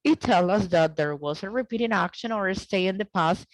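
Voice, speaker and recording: A woman teaching an English grammar lesson over a video call, explaining slowly and checking in with "okay?" often. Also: mid-range voice, animated, no echo. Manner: slow, deliberate explaining with frequent "okay?" checks.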